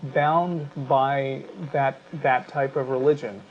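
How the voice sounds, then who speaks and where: A man with an American accent speaks as a talk show host, making an argument conversationally and often pausing mid-sentence. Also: mid-range voice, steady tone, muffled recording, slight background noise.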